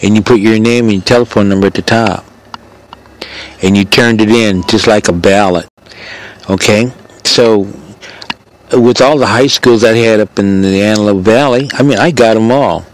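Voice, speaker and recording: An older man with an American accent and a fairly low voice speaks as a radio show host. He tells his story casually and conversationally, wandering as he goes and slipping into reported dialogue. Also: normal speed. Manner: rambling, conversational, re-enacts dialogue.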